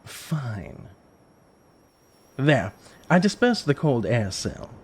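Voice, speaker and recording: An actor playing a cold, cruel weather mage in an audio drama, speaking with smug, deliberate menace. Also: male voice, mid-range voice, sing-song, breathy edge, full character voice. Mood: sullen, defiant.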